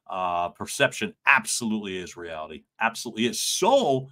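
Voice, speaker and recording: A middle-aged man coaching live from a room at home, close on a big studio mic. His voice is loud and bright, and it swings widely in pitch as he jokes with his viewers. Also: a little fast. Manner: animated, joking with viewers, loud and expressive. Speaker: communication coach. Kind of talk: livestream coaching talk. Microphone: large studio mic in front.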